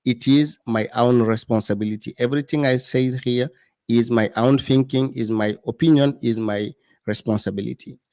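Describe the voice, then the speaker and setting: A man's voice: medium pitch, fairly even intonation, moderate pace, darkish tone, no room sound, clean studio sound. A man as a guest commentator in an interview, speaking in earnest, repeating phrases for emphasis.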